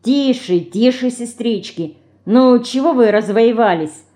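Woman doing a measured, good-natured teenage boy. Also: medium pitch, lively intonation, darkish tone, natural voice. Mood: conciliatory. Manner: measured, playful, conciliatory. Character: thoughtful teenage boy, good-natured peacemaker, easily irked.

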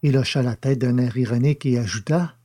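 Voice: mid-range voice, fairly quick, warm tone. A narrator reading scripted story narration between lines of dialogue in an audio drama.

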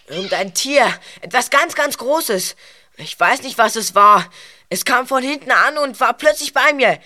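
A woman playing a frightened but quick-witted boy detective in a children's audio drama. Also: on the high side, lively intonation, pushed and bright. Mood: shaken.

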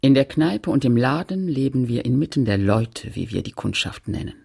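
A woman playing a sober narrator recalling her childhood home in a radio play, measured and factual, with a husky, creaky voice. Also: very deep voice, animated, mellow, full character voice. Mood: sober, reflective. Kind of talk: literary radio play narration in German and French.

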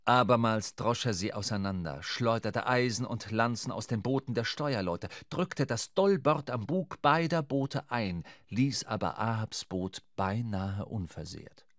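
A man's voice: fairly low pitch, expressive, dry recording. Male radio-play narrator, dry close sound. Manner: measured, dramatic storytelling.

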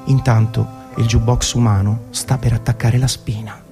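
A man's voice: mid-range voice, level tone, normal speed. A man reading a scripted narration as a radio presenter, with dramatic, literary storyteller phrasing. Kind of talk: scripted storytelling narration, guided music programme. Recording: narration over prominent background music. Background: music.

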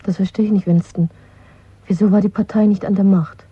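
An actress playing a young, pragmatic, questioning secret lover in a German radio drama, with a husky, breathy voice. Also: female voice, mid-range voice, fairly even intonation, fairly quick, no room sound. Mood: puzzled, curious. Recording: dry, narrow phone-like sound.

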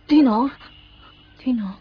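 A woman's voice: on the high side, takes it slowly, breathy edge, exaggerated voice. Plaintive, forlorn young woman. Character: forlorn young woman pining for an absent lover. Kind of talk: Cantonese radio drama dialogue. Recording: dull radio recording, faint noise.

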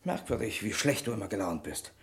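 A man's voice: lowish voice, lively intonation, a little fast. Plain-spoken, emphatic man in radio drama. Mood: conciliatory. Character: good-natured but vengeful male boss, grateful friend. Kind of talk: German radio crime drama acting.

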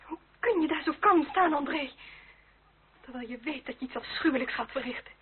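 Actress as young aristocratic woman, high pitch, dignified and anxious, radio drama, narrow tinny recording. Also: fairly quick, natural voice. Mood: anxious pleading. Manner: formal, earnest, theatrical. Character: proud, anxious young noblewoman pleading with dignity. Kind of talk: period radio drama acting. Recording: tinny, band-limited old radio recording with hiss.

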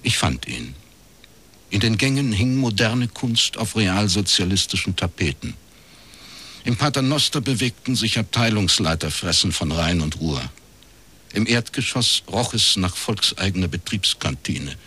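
Cynical middle-aged detective telling his case, real low voice, bit raspy, dry short sentences. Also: animated, normal speed, sharp tone, full character voice. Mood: sardonic. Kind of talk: German radio crime drama, first-person narration.

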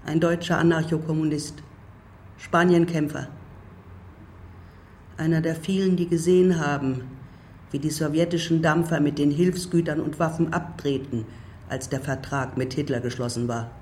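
An actor playing a bitter old civil war veteran who speaks in measured, emphatic statements in a radio drama. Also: male voice, fairly high pitch, steady tone, a little mellow, a little hiss or hum, close to an everyday voice. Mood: grave, bitter.

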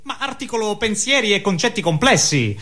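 A man plays an articulate owl in a stage comedy, high-pitched and very lively in intonation. The creature protests earnestly and wordily. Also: brisk, full character voice. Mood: indignant. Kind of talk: absurd theatre sketch.

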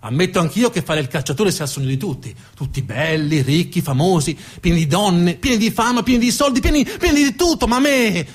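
Animated male theatrical storyteller, high-pitched. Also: a little fast, hardly any pauses, breathy edge. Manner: dramatic, emphatic, with repeated phrases. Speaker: actor and monologue storyteller. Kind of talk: theatrical narrative monologue.

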